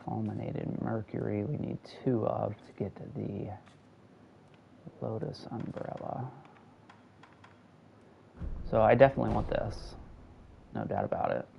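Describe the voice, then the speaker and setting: Gaming host, male, low gravelly voice with a rasp, quiet close-mic delivery, faint hiss behind. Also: sing-song, measured pace, pauses often, muffled recording. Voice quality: raspy. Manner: talks close to the mic, keeps his voice down. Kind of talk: casual live gameplay chat. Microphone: console headset or close mic.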